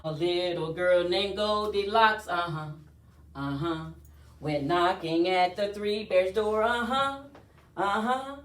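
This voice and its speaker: A woman reading aloud to children as a storyteller, with an expressive, singsong delivery. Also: on the low side, takes it slowly. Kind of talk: read-aloud storytime with rhyming chant. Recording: roomy echo, distant mic, faint music.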